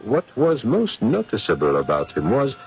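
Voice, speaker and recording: An actor playing a skeptical, polite professor who narrates a 1970s radio ghost drama, formal, wry and deliberate. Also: male voice, mid-range voice, wildly swooping pitch, creaky. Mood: detached. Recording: muffled vintage radio drama recording.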